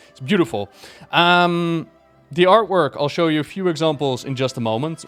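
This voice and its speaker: A man's voice: on the high side, fairly bright tone. A man chatting casually and enthusiastically as he reviews something for viewers, rambling a little and stressing his praise. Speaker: video creator reviewing a book.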